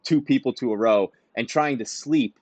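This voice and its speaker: A man's voice: mid-range voice, normal speed, no echo. A man co-hosting a podcast, telling rambling anecdotes broken by laughter in a casual chat. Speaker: podcast co-host.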